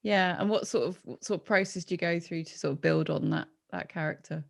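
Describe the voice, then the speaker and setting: A woman's voice: lowish voice, brisk, vocal fry. A woman hosting a casual interview, speaking in an encouraging, agreeable and conversational way. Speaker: interviewer, host.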